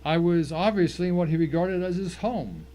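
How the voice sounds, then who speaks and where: Higher-voiced man narrating dramatically. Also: normal speed, dark tone. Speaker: story narrator. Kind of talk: dramatic first-person audiobook narration. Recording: faint noise and ambience behind.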